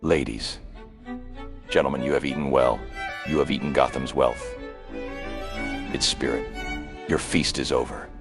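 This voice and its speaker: Very deep, gravelly vigilante. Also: male voice, fairly even intonation, measured pace, long pauses, exaggerated voice. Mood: ominous. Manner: terse, commanding, ominous. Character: menacing, ruthless vigilante Batman, grown man. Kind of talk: motion comic dub acting. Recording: loud dramatic music behind. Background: dramatic music.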